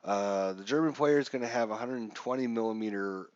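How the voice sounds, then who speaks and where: A lower-voiced man with a stuffy, congested nose, chatting casually and filling pauses as he walks through a game setup. The audio is dry and a bit dull, like a lo-fi stream mic. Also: darkish tone, clear, resonant tone, no echo. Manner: casual, chatty with frequent fillers. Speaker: live stream host. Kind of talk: live wargame walkthrough.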